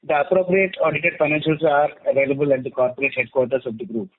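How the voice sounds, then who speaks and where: A man with an Indian accent giving a formal, measured company statement as an executive on an investor call. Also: on the high side, steady tone. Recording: tinny phone conference line.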